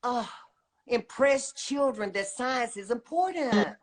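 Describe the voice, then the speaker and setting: A woman's voice: a little high, expressive, slow pace. Emphatic middle-aged woman chatting as guest. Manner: emphatic, often tags statements with "okay?". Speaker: guest, children's book author and retired teacher. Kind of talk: casual enthusiastic interview chat.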